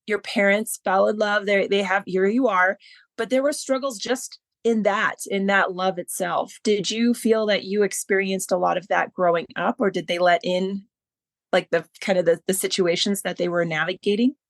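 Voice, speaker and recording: A woman's voice: mid-range voice, fairly even intonation, moderate pace, no room sound, clean studio sound. A woman hosting an interview podcast, asking winding, loosely phrased questions in a conversational way. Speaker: podcast host. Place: remote online call.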